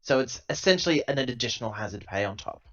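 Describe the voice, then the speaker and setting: A man playing a tabletop role-playing game, improvising dialogue and narration in a halting, self-correcting, emphatic way on a lo-fi recording. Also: mid-range voice, animated, fairly bright tone. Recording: dull lo-fi laptop recording.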